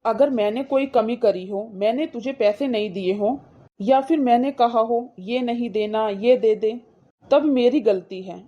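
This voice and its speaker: A woman's voice: a little high, measured pace, lo-fi sound. A woman voicing an indignant, protective brother in a cartoon moral story, scolding his wife with insistent, reproachful questions.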